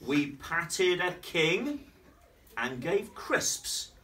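A man voicing bossy magical flying babies who speak in a slow, prim, scolding way in a read-aloud picture book. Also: fairly high pitch, sing-song, recorded from a distance, crowd noise, pitched above the performer's own voice, exaggerated voice. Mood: boastful.

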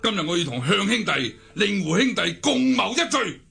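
An actor with a Hong Kong accent playing a domineering, cunning older cult leader in a radio drama, theatrical and raspy. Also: male voice, sits high, sing-song, normal speed, over loud music, full character voice. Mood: commanding. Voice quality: raspy, gravelly. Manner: theatrical, commanding. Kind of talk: Cantonese radio drama acting.

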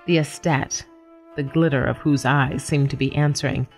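A woman playing a nameless, observant house cat, narrating a literary radio story in a measured, formal, deadpan way. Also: low voice. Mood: wry, reflective. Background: music.

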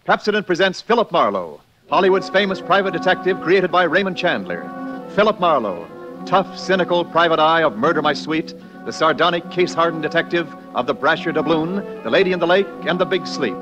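A man's voice: fairly high pitch, animated, fairly quick. A man announcing a sponsored program in an emphatic, declamatory 1940s radio style. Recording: muffled 1940s radio, music behind.

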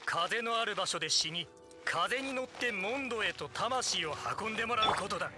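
A man's voice: high-pitched, animated, moderate pace, bright, forceful tone, faint music behind, exaggerated voice. An actor playing a boastful middle-aged male adventurer in a video game, speaking in a pompous, theatrical way. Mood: grave storytelling. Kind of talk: Japanese video game voice acting.

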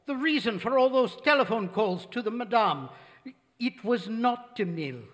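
A man's voice: very high-pitched, expressive, a bit bright. A man playing a solemn, devoted, formal butler in a radio drama. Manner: formal, deliberate. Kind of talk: old-time radio drama acting. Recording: reverberant theatre stage recording.

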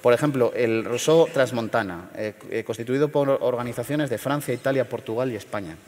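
Middle-aged guy from león, halting panel talk, lots of ums, echoey hall. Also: mid-range voice, a little mellow. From León, Spain. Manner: measured, hesitant, many fillers and restarts. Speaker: panel speaker. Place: large theater stage.

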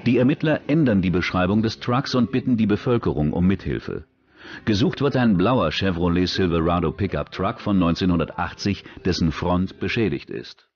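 A man reading scripted true-crime documentary narration in a measured, factual way. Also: low voice, animated, a little mellow, muffled recording.

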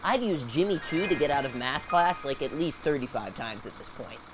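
A man's voice: fairly high pitch, expressive, brisk, music in the background, natural voice. A young man playing a cocky, goofy schoolboy schemer in a kids' comedy, with a showy manner. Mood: smug, upbeat. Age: young adult. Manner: showy, self-satisfied.

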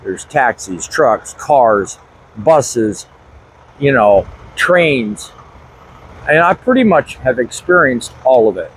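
A man's voice: mid-range voice, expressive, a little hiss or hum, low-quality recording. A middle-aged man, a truck driver and vlogger, talking earnestly and reflectively to the camera from inside his truck cab on a lapel mic. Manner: earnest, reflective, deliberate phrasing. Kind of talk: casual talk to camera. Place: inside a truck cab, also outdoors in a parking lot. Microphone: small lapel mic.

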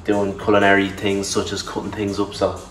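A man's voice: deep voice, moderate pace, far from the mic, over music. Chatty young man in an echoey kitchen. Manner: relaxed, chatty, self-deprecating. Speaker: fitness vlogger. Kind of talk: casual cooking walkthrough. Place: large home kitchen, indoors. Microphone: camera mic at a distance.

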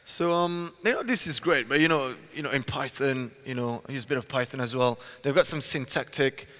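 A man's voice: medium pitch, fairly quick, bright, forceful tone. A man from Belgium in his thirties, co-presenting a tech talk on stage with a headset mic, chatty and full of "you know" fillers. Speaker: conference co-presenter. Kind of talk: prompting questions in a tech talk. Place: large conference hall stage. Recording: long hall reverb.

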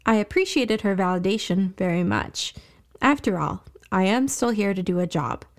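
A woman's voice: medium pitch, expressive, moderate pace. A woman reading scripted narration as the host and storyteller of a fiction podcast.

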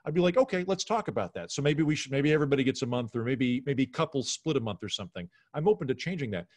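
A man's voice: mid-range voice, expressive, fast talker, darkish tone, dry recording. American man talking casually, dry close sound. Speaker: podcast guest, comedian. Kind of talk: casual opinionated conversation. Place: home room on a video call.

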